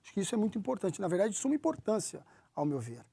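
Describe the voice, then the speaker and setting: High-voiced football executive. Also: male voice, big pitch swings, vocal fry, dark tone, slightly rough, no echo. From São Paulo, Brazil. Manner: measured, with frequent restarts. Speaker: football club executive, guest. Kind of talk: interview answers.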